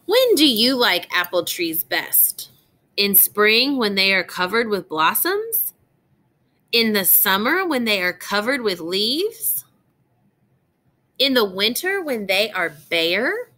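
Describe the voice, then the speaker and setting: A woman reading a picture book aloud as a teacher would, phrasing it deliberately for young children and addressing them directly. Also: on the high side, big pitch swings, sharp tone.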